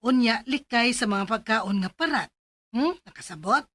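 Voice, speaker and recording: An actress with a Cebuano accent playing a calm female doctor who explains and advises in a Bisaya radio drama. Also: a little high, moderate pace, a little hiss or hum. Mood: calm, advising.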